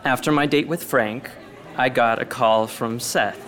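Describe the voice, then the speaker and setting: A man's voice: medium pitch, measured pace, a bit bright, natural voice. A man playing a guarded but easygoing teenage prep school boy in a radio drama, speaking in an understated, hesitant way. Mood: confiding.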